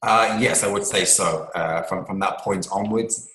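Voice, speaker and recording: A man's voice: sits low, unhurried, a bit bright, echoey room. A man from England, an author and scientist, answering interview questions at length with many ums and restarts.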